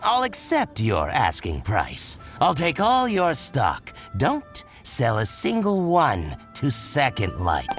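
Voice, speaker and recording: A man's voice: medium pitch, huge pitch swings, slow, hardly any pauses, a bit bright, soft background music, exaggerated voice. A man voicing a shrewd, possessive, self-interested merchant in a video game, with a fussy, dramatic, haggling manner. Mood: smug, possessive. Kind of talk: video game character voice acting.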